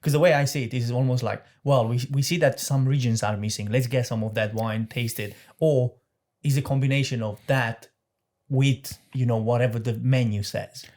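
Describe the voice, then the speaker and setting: A man's voice: mid-range voice, fairly even intonation, moderate pace, a little mellow. A man hosting a hospitality podcast, asking long rambling questions with restarts. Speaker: podcast host. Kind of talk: casual interview questions.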